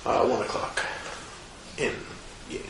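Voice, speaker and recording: A man running a tabletop roleplaying game, narrating in a halting way with frequent filler pauses. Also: roomy sound, noisy background. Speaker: game master.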